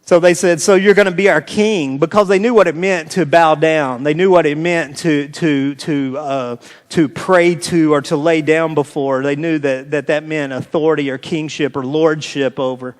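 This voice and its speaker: An American man delivers a sermon in a notably high register for a male voice. His phrasing is informal and conversational, circling back on phrases to drive them home. The sound carries the long reverb of a large hall. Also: fairly even intonation, normal speed. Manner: informal, repeats phrases for emphasis. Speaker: pastor preaching to a congregation. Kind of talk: conversational sermon with scripture reading. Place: large reverberant room, preaching live.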